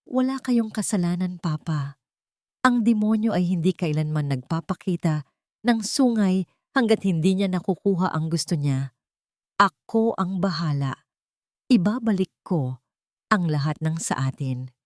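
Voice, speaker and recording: A woman's voice: lowish voice, lively intonation, measured pace, long pauses, darkish tone, no echo, studio quality. A woman storyteller voicing a betrayed, vengeful adult daughter in a grave, emotional way. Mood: resolute.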